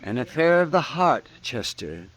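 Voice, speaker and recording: An actor playing a grumbling, roguish town doctor in an old western radio drama, muttering and droll. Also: male voice, fairly high pitch, sing-song, unhurried, fairly bright tone, dry recording, exaggerated voice, low-quality recording. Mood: wry reminiscence.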